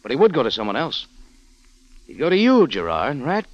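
A shrewd, tough private eye in old radio drama style. His delivery is clipped and hard-boiled, moving widely in pitch. The recording is muffled, like an aged broadcast. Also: huge pitch swings, brisk, dry recording. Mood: accusing. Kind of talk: 1940s radio detective drama acting.

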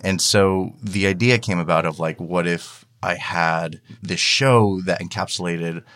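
A man's voice: low-pitched, measured pace, fairly bright tone. A man speaking casually as an interview guest, conversationally explaining his creative work and voicing his own thoughts aloud. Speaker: guest, fiction podcast creator.

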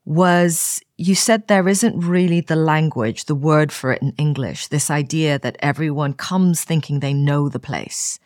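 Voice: mid-range voice, measured pace, hardly any pauses, dry recording, studio quality. Enthusiastic host in their forties. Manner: enthusiastic, lively questioning. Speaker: podcast host. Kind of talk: casual conversational interview.

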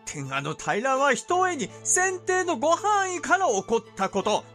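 An actor playing a haughty shogunate ruler in a historical audio drama, giving formal archaic orders in a commanding manner. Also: male voice, very high voice, sing-song, moderate pace, fairly bright tone, faint music behind, full character voice. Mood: imperious. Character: high-voiced, haughty male ruler of a shogunate.

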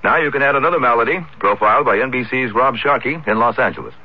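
A man's voice: mid-range voice, expressive, fast. A man with an American accent reading scripted news as a network radio anchor. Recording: dull old radio broadcast.

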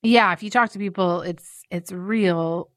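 A woman co-hosting a casual discussion, talking in a conversational, animated way. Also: fairly low pitch, unhurried, no room sound, clean studio sound.

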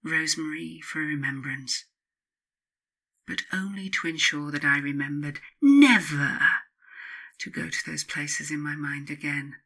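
A woman narrating a spiritual self-help book as its author, in a solemn, deliberate, incantatory way. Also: sits low, bright, forceful tone, poor audio. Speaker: author and podcast host reading their own book.

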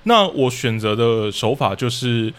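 A man's voice: mid-range voice, animated, unhurried. A man with a Taiwanese Mandarin accent talking casually as an interview guest, explaining his work as a drama director analytically.

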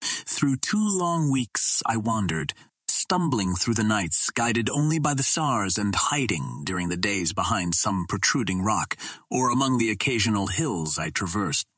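A man narrating an adventure novel in a deliberate, formal, dramatic storytelling manner. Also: lowish voice, very animated, fairly bright tone, no room sound. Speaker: audiobook narrator.

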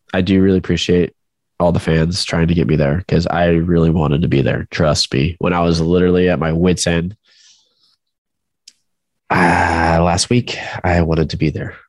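Deep guy with a stuffy nose rambling on a podcast, dry close mic. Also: dry recording. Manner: joking, rambling, self-deprecating. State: stuffed up with a cold. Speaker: podcast co-host. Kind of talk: casual podcast chat.

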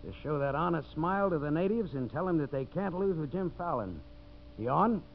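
An actor playing a slick, fast-talking promoter and boss with a commanding salesman's patter, in 1950s radio drama style. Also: on the high side, lively intonation. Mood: brisk, confident. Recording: dull, hissy 1950s radio broadcast recording.

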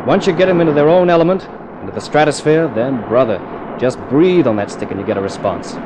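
A man's voice: fairly high pitch, fast talker, very noisy, close to an everyday voice. Cool, wry 1950s radio test pilot, played by a man. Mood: tense, wry narration. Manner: dramatic, clipped, wry storytelling. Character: cool, wry male jet test pilot narrating his adventure. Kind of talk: 1950s radio thriller narration and acting. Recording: old radio drama with music underneath.

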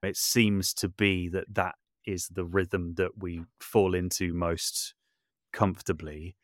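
A man's voice: low-pitched, flat delivery, takes it slowly, no echo, clean studio sound. A man speaking casually as an interview guest, thoughtful and self-deprecating, often circling back to rephrase what he says. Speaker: interview guest, composer and voice artist. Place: small brick outbuilding home studio.

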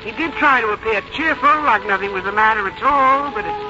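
A woman's voice: fairly high pitch, fairly quick, natural voice. An actress playing a stubborn, plain-spoken elderly American mother with a blunt, folksy, complaining manner in a 1950s radio drama. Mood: wry, resolved. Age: older. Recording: noisy, muffled 1951 radio recording.

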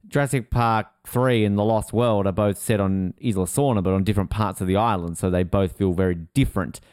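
A man's voice: lowish voice, steady tone, fairly quick, a little mellow, clean tone, no room sound, clean studio sound. A man chatting casually as a podcast co-host, jokey and rambling. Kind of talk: casual chat.

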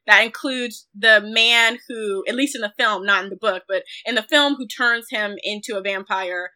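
A woman co-hosting a film podcast, talking casually in an animated, opinionated and sardonic way. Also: mid-range voice, moderate pace.

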